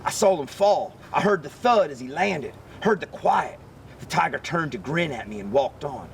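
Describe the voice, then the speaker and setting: A man reading a children's picture book aloud as an expressive narrator. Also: on the high side, normal speed, vocal fry, a little hiss or hum. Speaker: story reader.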